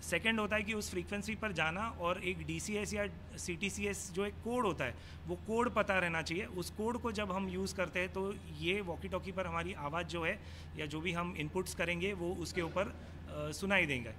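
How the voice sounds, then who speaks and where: Male technical expert, Indian accent, high pitch, Hindi-English explanatory delivery, noisy location sound. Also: moderate pace, bright, forceful tone. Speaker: technical expert being interviewed. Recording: clearly noisy on-location ambience.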